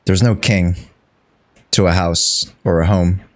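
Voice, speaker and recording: A man streaming a video game, giving casual, informal and somewhat halting live reactions. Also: low voice, fairly even intonation. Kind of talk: casual live gameplay commentary. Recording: game music behind the voice.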